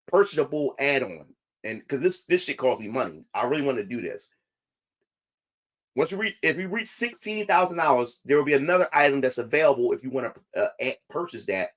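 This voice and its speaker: A man with a slightly higher voice talks loosely to his viewers, looping back over the same points and restarting half-finished phrases. His voice is still not fully recovered. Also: a bit bright. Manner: repetitive, restarts phrases often. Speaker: independent comic creator. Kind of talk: casual livestream talk to viewers.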